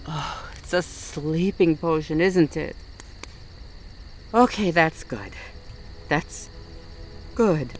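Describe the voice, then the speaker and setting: Man acting a slow-spoken, wry fae guard over music. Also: on the high side, poor audio. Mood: worried. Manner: accusing and wry, addresses listener directly. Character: wary male fae guard of the Autumn Court, stern but soft-hearted. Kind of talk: roleplay audio drama addressed to the listener.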